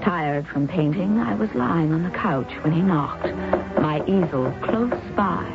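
A woman's voice: lowish voice, expressive, measured pace, creaky, over music. An actress playing a brave young woman in a 1970s radio drama, speaking in a resolute but strained way. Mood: narrating. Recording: muffled old radio broadcast.

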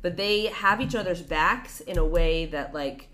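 A woman's voice: on the low side, measured pace. A young woman co-hosting a podcast, talking animatedly and rambling as she chats about a TV show. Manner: animated, rambling, jumps into exaggerated quoted voices. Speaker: podcast co-host. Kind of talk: casual chat about a TV show.

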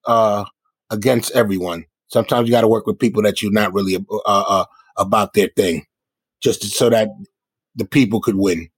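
A man's voice: fairly low pitch, fairly even intonation, normal speed, slightly rough, no echo. A man from the South Bronx, a hip hop artist, talking casually as an interview guest. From South Bronx, New York.

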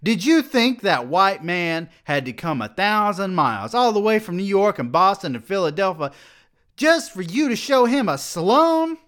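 A man voicing an older male college president who mocks and then shouts in outrage. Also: on the low side, very animated, slow pace, exaggerated voice. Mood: furious.

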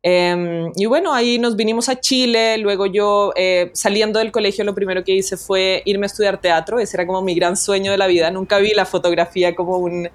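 A woman's voice: mid-range voice, fairly even intonation, fairly quick, hardly any pauses. A woman from Colombia, a guest photographer being interviewed, telling her story in a reflective way and restarting her sentences often. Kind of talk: conversational interview.

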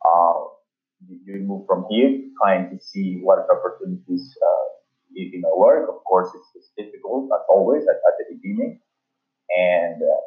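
A middle-aged man from Ecuador speaks with an Ecuadorian Spanish accent and a lowish voice. He tells his story haltingly, full of restarts and fillers. The sound is thin and phone-like. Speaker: sports agency founder. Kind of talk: interview storytelling. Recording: tinny phone-like line.

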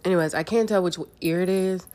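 A young woman with a low voice chats through her solo podcast, stuffed up and nasal from a cold. She talks candidly and casually, cursing freely as she goes. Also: a little fast, darkish tone. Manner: candid, chatty, swears freely. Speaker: podcast host.

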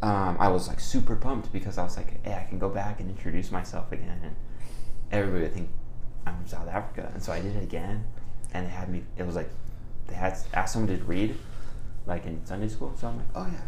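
A young man telling a funny story in casual chat, laughing often and animated. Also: low voice, fast talker, slight background noise. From Arizona. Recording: roomy echo.

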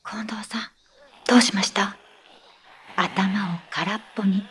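A woman playing a calm meditation teacher in an audio drama, giving measured, gentle instructions and reassurance. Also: medium pitch, slightly rough. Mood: calm, insistent. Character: soothing female teacher leading breathing meditation, insistently calm amid menace.

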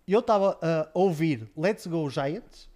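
A man's voice: on the high side, slow, dark tone. A man from Portugal with a European Portuguese accent, telling stories in casual chat as a podcast guest, animatedly and with many repetitions. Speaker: podcast guest, former esports coach.

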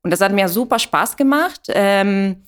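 A woman's voice: medium pitch, expressive, measured pace. A woman with an Italian accent answering as an interview guest, hesitantly searching for words in a language that is not her first. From Italy. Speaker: interview guest, coffee business founder.

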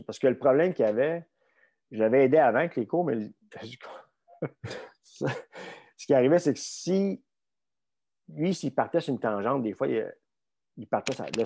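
Middle-aged man, Québécois French accent, gravelly, dark low voice, rambling casual chat, blunt. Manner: rambling, many fillers and restarts, blunt. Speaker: strength coach guest. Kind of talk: casual conversational storytelling.

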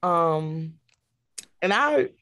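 A woman's voice: lowish voice, expressive, extremely slow, a bit bright. A woman answering casually as a cast member in an interview. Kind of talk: casual chat.

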